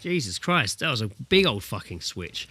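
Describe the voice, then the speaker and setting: Bright-voiced British man, sweary podcast co-host. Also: mid-range voice, big pitch swings, moderate pace, no room sound. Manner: sweary, jokey. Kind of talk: casual banter over a call. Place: indoors, recording remotely over Skype. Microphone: close podcast microphone.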